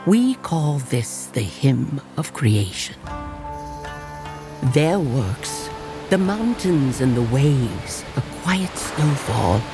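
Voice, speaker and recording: An actor voicing a kindly yokai animal officiant in a motion comic, speaking in a measured, reverent, gentle storytelling way. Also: medium pitch, sing-song, heavily acted. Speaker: officiant and narrator. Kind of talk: scripted ceremonial storytelling. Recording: music playing behind the voice.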